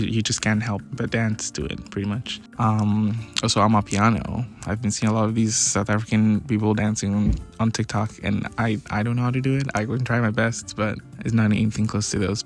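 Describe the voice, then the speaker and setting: A young man from Ghana talking casually to his viewers in a relaxed, chatty way. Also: fairly low pitch. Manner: relaxed, chatty, with many restarts and fillers. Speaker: vlogger. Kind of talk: casual talk to camera.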